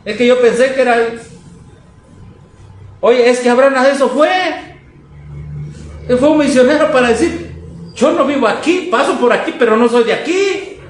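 High-pitched man preaching in a very echoey room. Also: normal speed, pauses often. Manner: exhorting, with rhetorical questions and mimicked objections. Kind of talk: fervent sermon to a congregation, reading quotes and commenting. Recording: echoey room, noisy background.

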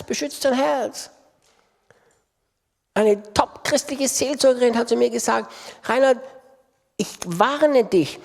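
A man's voice: very high voice, creaky. A man preaching a sermon with impassioned delivery and emphatic repetition. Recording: long reverb of a large hall.

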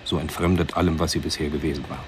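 A man with an extremely deep voice narrates a radio drama. He is measured and sombre in a literary storytelling style, with faint noise behind him. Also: fairly even intonation.

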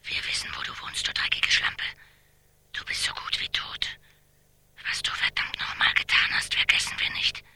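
A man's voice: moderate pace, bright, forceful tone. Radio drama: a threatening unknown male caller, hissed whisper, cold and hateful. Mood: cold menace. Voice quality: whispered, breathy hiss. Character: menacing anonymous male caller issuing death threats. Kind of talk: radio drama acting, answering machine message.

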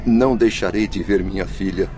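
A man's voice: sits low, normal speed, warm tone, close to an everyday voice. A man acting in a radio drama as a stubborn, distraught father's spirit, agitated and insistent. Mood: indignant distress.

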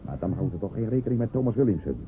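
A man's voice: fairly low pitch, animated, very fast, background noise. An actor playing a proud, pompous man who barks orders at a frightened helper in a radio drama. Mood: commanding. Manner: commanding, pompous, barking orders. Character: proud blustering coastal man giving orders. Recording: tinny lo-fi old radio recording.

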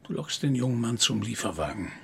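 An actor playing a menacing, manipulative grandfather in a radio crime drama, speaking deliberately, coaxing and then commanding, with a rough, husky voice. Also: mid-range voice, expressive, slight background noise. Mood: coaxing, scornful. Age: older. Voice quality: husky, gravelly. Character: menacing, manipulative grandfather, a cold zealot preaching purity.

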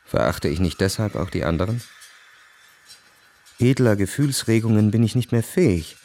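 An actor playing a cynical, world-weary young officer narrating his diary in a cool, measured, introspective way. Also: on the low side, lively intonation, mellow. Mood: bitter, brooding. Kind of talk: literary radio drama narration, diary-like first person.

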